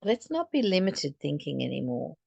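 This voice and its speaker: A woman reading a spiritual text and commenting on it reflectively, in a deliberate, earnest manner, like a teacher addressing the listener. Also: sits low, sing-song, gravelly, darkish tone, slightly rough, no echo, lo-fi sound. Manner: deliberate, earnest, posing rhetorical questions to the listener.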